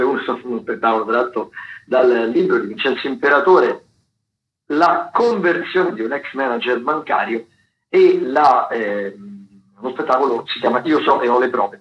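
Male radio arts critic, hesitant delivery full of fillers, mid-pitched, slightly muffled sound. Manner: halting, with frequent hesitations and filler words. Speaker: theatre critic giving a weekly listings segment. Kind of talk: radio agenda of shows, semi-scripted commentary. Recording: roomy, dull lo-fi radio sound.

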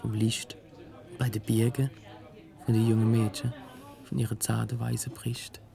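An actor playing a poor, brooding country seer in a radio play, speaking southern German rural dialect in an insistent, incantatory, earthy way. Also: male voice, mid-range voice, completely flat delivery, unhurried, gravelly, music in the background. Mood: mystical, incantatory.

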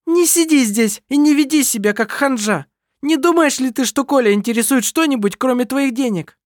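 An indignant young woman as the reader plays her screeches her protests in a very high voice. Her pitch leaps about, and the recording is close and dry. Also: lively intonation, moderate pace, dry recording. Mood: outraged. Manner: screeching, outraged.

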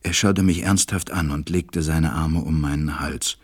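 A stranded pilot telling his story in a radio play. His voice is low, tender and melancholy. It is recorded dry and close. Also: fairly even intonation, a little slow, slightly rough, no echo, heavily acted. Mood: tender, worried. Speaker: narrator. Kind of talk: audio drama narration and acting.

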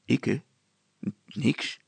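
A man voicing a placid village father in a storybook, deliberate, laconic and good-natured. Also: no echo, lightly acted. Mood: evasive. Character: placid, unhurried, wry village father, male. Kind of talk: dramatized storybook reading.

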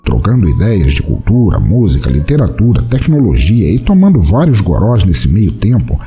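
A man's voice: on the low side, wildly swooping pitch, normal speed, mellow, husky. A Brazilian man hosting a podcast, reading scripted announcements in a playful, mock-formal way over faint music. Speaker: podcast host.

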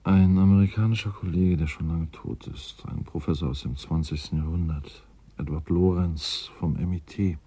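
A man's voice: low-pitched, fairly even intonation, moderate pace, dark tone, breathy edge, no echo. An actor playing a wry, courteous male scientist in a radio drama, absorbed in his own theories and speaking thoughtfully. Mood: musing. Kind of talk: German radio drama acting, naturalistic dialogue.

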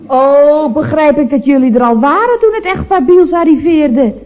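Teasing female office colleague, high swooping voice, probing mock-innocent questions, 1960s radio comedy, muffled sound. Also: animated, moderate pace. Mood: eager. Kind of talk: late-1960s Dutch radio comedy serial acting. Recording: muffled old radio recording.

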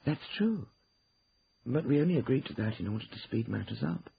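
A man's voice: mid-range voice, expressive, brisk, creaky, breathy edge, dull audio, pitched above the performer's own voice, heavily acted. A man voicing a firm, indignant woman defending herself in an audiobook, insistent and emphatic. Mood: firm, defensive. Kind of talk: acted audiobook dialogue.

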